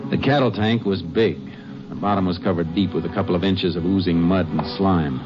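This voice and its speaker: An actor playing a steady, shrewd Texas Ranger in a 1950s radio crime western, questioning and narrating in a terse, matter-of-fact way. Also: male voice, low-pitched, a little fast, music in the background, close to an everyday voice. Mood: grim, factual. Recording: muffled, noisy old 1950s radio recording.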